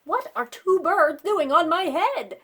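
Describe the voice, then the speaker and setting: A woman voicing an excitable, easily flustered storybook elephant with dramatic, exclamatory questioning. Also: very high-pitched, slow, pitched above the performer's own voice, exaggerated voice. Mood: alarmed.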